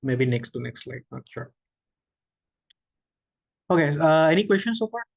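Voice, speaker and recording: Gravelly-voiced man teaching online. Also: on the high side, sing-song, dry recording, muffled recording. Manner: explains slowly with many okays and restarts. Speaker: workshop presenter. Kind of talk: online lecture with questions. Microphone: video call.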